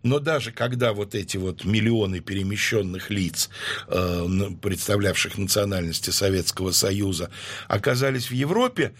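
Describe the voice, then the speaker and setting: Man, guest historian, husky tone, measured explanatory delivery with hesitant pauses. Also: on the low side. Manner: measured, lecturing with frequent hesitations. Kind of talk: explanatory interview talk.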